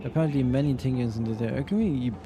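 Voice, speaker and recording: A man's voice: mid-range voice, rapid-fire. A young man streaming a video game, rambling casually and reading game text aloud with jokes. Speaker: vtuber streamer.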